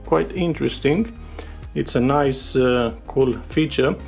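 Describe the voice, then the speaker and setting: A man, a native Romanian speaker, giving a casual, unscripted product overview in English as a tech reviewer, with halting, filler-filled delivery. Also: medium pitch, gravelly. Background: music with guitar behind the voice.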